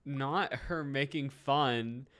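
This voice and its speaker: A man's voice: medium pitch, drawn-out words, fairly bright tone. Man muttering casual game reactions. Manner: muttering, incredulous asides, repeats himself. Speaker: reaction video host. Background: faint game music.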